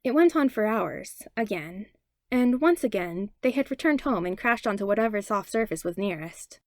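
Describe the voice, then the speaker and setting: A woman narrates a piece of fiction from a script. Her voice sits at an average female pitch, and the recording is close and dry, with hardly any room sound. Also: lively intonation, moderate pace, darkish tone, dry recording. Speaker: podfic reader.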